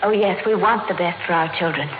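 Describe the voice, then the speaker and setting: An actress playing a gentle, agreeable wife and mother with a coaxing manner in an old radio drama. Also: lowish voice, fast, faint music behind, lightly acted. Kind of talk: 1950s radio acting. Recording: noisy, tinny vintage radio recording.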